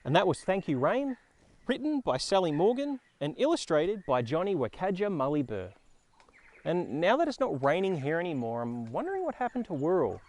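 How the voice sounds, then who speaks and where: An Australian man presenting and reading a story for young children in a playful, expressive manner. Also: a little high, moderate pace. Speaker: children's storyteller and show host. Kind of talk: children's read-aloud and playful presenting to a puppet-like companion. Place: outdoors in a forest.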